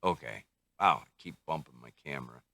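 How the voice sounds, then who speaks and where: Very deep-voiced older man, casually reviewing gear. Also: animated, vocal fry, pushed and bright, no echo. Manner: unhurried, musing, talks to himself. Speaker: radio hobbyist reviewer. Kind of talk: casual hands-on product review, thinking aloud.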